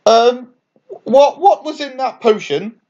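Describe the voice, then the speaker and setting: A man voicing a busy, excitable female witch who brews potions in a children's bedtime story. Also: extremely high pitch, slow pace, dull audio, pitched above the performer's own voice, full character voice. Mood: nervous. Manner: excitable, bright-spirited.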